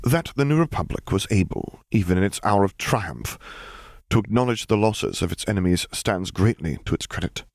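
A man voicing an earnest, courteous male admiral who speaks in a formal, measured way, in an audiobook. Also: lowish voice, expressive, vocal fry, dry recording, clean studio sound. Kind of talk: audiobook character voice.

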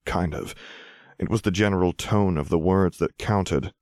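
A man reading a scripted story as narrator, in a measured, expressive way. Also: deep voice, no echo, clean studio sound. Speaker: audiobook narrator.

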